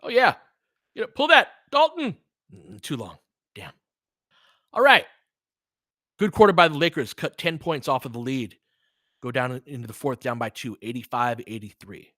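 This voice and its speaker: A man works as an informal sports commentator, mixing play-by-play with opinionated analysis. His pitch swings around a lot from a middle register, and he talks in a conversational way with mild swearing. The sound is close and dry. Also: sing-song, normal speed, long pauses, sharp tone, dry recording. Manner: conversational, opinionated, mildly profane.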